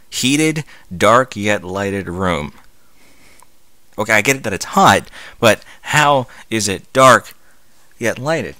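Bright-voiced man reading aloud, animated. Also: on the low side, dry recording. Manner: mocking, incredulous interjections. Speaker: fan fiction reader. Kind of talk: dramatic reading with mocking asides.

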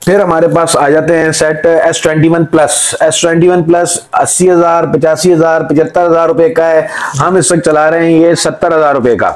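A middle-aged man with a Pakistani accent giving an informal sales pitch as a phone seller, often repeating filler phrases as he lists things. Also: level tone, moderate pace, hardly any pauses, rough recording. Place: indoor room with display table.

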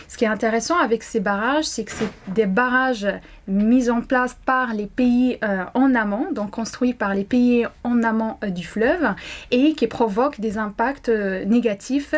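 A young woman whose first language is Arabic, a doctoral student answering interview questions to camera, hesitant, with many fillers and restarts. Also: fairly high pitch. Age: young adult. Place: indoor room.

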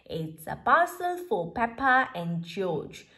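A woman reading a children's storybook aloud in a deliberate, expressive way, phrase by phrase. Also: a little high. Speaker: storybook reader.

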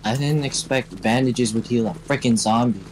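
A man's voice: medium pitch, moderate pace, a little mellow, a little hiss or hum, rough recording. A man improvising blunt, slangy roleplay chat in a video game. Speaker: roleplay player.

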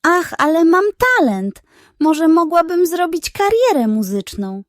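A woman's voice: very high-pitched, sing-song, a little slow, no room sound, studio quality, voice pushed up, full character voice. A woman voicing a naive, gullible and proud female hen in a children's storybook, with a vain, wide-eyed enthusiasm. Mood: proud, dreamy.

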